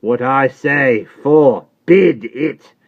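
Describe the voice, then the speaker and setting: A man playing a slow, pompous servant. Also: mid-range voice, narrow, tinny audio, pitched above the performer's own voice. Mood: solemn. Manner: slow, pompous, preachy and ungrammatical. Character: solemn trembling Cockney manservant, uneducated.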